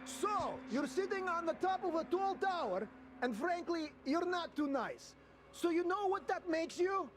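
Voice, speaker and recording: A man's voice: very high voice, lively intonation, a little slow, fairly bright tone, slight background noise, heavily acted. An actor playing a cheeky, wry gunman in a video game, teasing and spinning tales theatrically. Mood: teasing, mock dramatic. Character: cheeky, joking male wasteland gunman, tale-teller. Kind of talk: video game cutscene banter.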